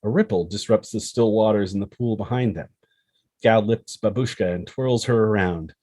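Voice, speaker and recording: Man, slightly low husky voice, dry close sound, scripted narration of screenplay stage directions. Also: moderate pace, a little mellow, no echo.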